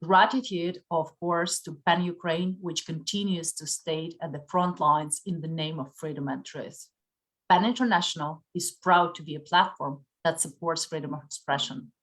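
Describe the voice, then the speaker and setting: Woman moderator wrapping up, reading thank-yous off a script, slightly low voice. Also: steady tone, moderate pace, a bit bright. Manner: reads thanks and credits formally. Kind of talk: scripted closing remarks.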